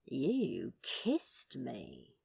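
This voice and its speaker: A woman's voice: very deep voice, huge pitch swings, very slow, gravelly, darkish tone, husky, no room sound, pitched below the performer's own voice, heavily acted. A woman voicing a sardonic, teasing male demon in a fan fiction audiobook, with a cocky, leering drawl. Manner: drawling, leering, mock-seductive.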